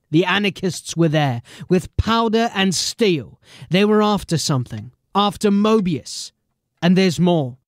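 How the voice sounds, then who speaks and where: A man playing a determined, embattled police sergeant in a dramatic audio drama, speaking earnestly and deliberately. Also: high voice, dry recording.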